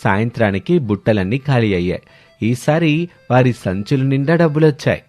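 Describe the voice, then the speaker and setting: A man's voice: mid-range voice, animated, normal speed, a little mellow. A man reading scripted narration of a moral story as the storyteller. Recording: music behind the voice. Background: background music.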